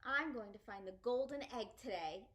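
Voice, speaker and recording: Woman putting on an excitable, high, bossy little girl. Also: normal speed. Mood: eager, insistent. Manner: emphatic, excitable, childlike phrasing. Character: exuberant, bossy, chatty little girl narrating her story.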